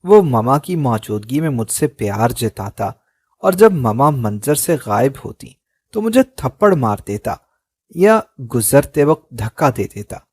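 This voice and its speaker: A man narrating an emotional story in a measured, solemn reading, close to the mic. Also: mid-range voice, big pitch swings, a little mellow, husky, no echo.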